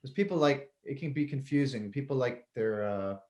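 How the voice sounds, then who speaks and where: A man's voice: mid-range voice, normal speed, a little mellow. A middle-aged man with a mid-range voice, talking casually as a guest musician. He rambles in a loose, easygoing way and laughs easily between thoughts. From Connecticut, US. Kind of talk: casual interview chat.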